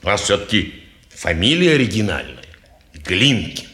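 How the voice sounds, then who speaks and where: A man's voice: lowish voice, very animated, normal speed, pushed and bright. An actor playing a pompous, touchy petty townsman who philosophizes, in 1950s Russian stage style. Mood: musing, mocking. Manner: ponderous, self-important, sententious. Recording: roomy old radio theatre recording.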